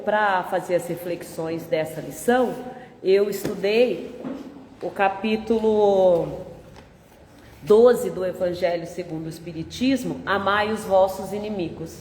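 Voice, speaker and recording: A Brazilian woman giving a gentle, conversational spiritist talk with a nasal, congested voice from a cold. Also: medium pitch, slight background noise. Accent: Brazilian Portuguese. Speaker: spiritist lecturer. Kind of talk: public talk with opening prayer. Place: large hall of a spiritist center. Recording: echoey hall with long reverb.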